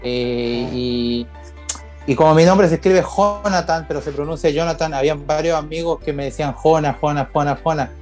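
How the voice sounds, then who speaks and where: A man in his thirties with a Chilean accent answers interview questions, circling back on his words with frequent fillers. His voice sits a little high for a man. Soft music plays underneath. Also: normal speed. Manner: hesitant, many fillers and restarts. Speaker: musician guest. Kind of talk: casual interview chat.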